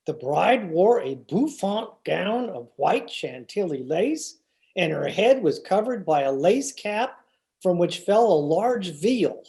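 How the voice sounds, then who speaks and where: A woman's voice: on the low side, big pitch swings, slow pace, warm tone, full character voice. A woman playing a teasing, stubborn husband in old-time radio comedy, with a theatrical, wry and exasperated delivery. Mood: amused. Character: George, teasing, stubborn husband of a 1940s housewife. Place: remote video-call production.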